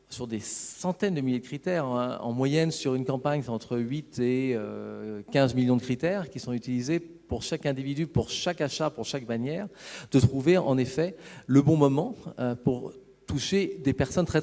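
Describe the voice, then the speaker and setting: A man giving a semi-improvised, halting and discursive explanation as an executive at a conference. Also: medium pitch, warm tone. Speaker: business executive presenting a case study. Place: conference hall on stage. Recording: reverberant hall sound.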